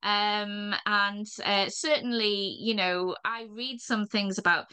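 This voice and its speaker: A woman from Wales with a North Welsh accent, talking in a reflective way as a podcast guest, with soft laughs and many fillers. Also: mid-range voice, fairly even intonation, slow, smooth. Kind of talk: reflective conversation.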